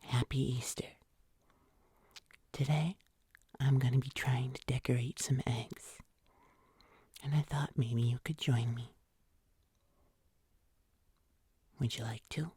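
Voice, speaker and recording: A woman voicing a gentle, nervous fuzzy monster in a breathy, hesitant ASMR whisper. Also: a bass voice, steady tone, dry recording, full character voice. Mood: gentle, welcoming. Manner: slow, soft, hesitant, with small pauses. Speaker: ASMR host.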